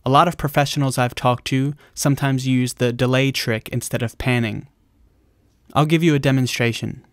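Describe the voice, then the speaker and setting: A man's voice: mid-range voice, fairly even intonation, moderate pace. A man presenting an explanatory video tutorial in a plain-spoken, informal instructional way.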